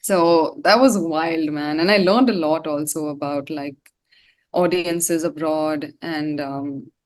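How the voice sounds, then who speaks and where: Female singer as interview guest, slightly low register, informal and rambling delivery. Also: slow pace, darkish tone. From India. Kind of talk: casual chat.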